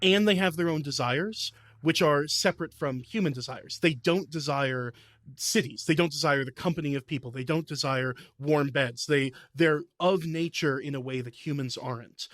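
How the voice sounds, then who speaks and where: A man with a slightly high voice, recorded close and dry. He talks like an eager game master on a podcast, rambling through lore and tales with real enthusiasm. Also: moderate pace, a bit bright, no room sound. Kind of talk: animated casual explanation of fantasy lore.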